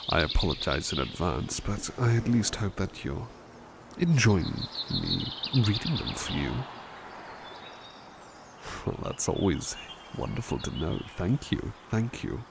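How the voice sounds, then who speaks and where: A man playing a soft-spoken, gravelly butler. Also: sits low, big pitch swings, slow pace, pauses often, very noisy, exaggerated voice. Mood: musing. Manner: formal, polite and musing. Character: kind, courteous male butler reading to listener. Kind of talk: ASMR roleplay reading.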